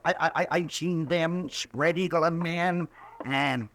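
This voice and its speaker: A man's voice: on the high side, measured pace, fairly bright tone, a little hiss or hum. An actor playing a cranky, boastful old American frontiersman of about eighty in a radio western, rambling and stammering as he goes. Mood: fierce. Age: elderly character of about eighty. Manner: rambling, stammering, repeats himself.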